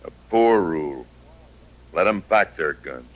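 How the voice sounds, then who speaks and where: A man's voice: low-pitched, animated, measured pace. An actor playing a cold, arrogant hired gunman in an old-time radio western, speaking tersely with cool menace. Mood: cold, commanding.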